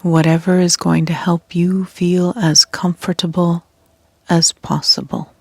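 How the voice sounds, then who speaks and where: Hushed, very slow woman guiding meditation. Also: on the low side, little pitch movement, vocal fry, no room sound. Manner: soothing, hushed delivery with long pauses. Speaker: yoga nidra guide.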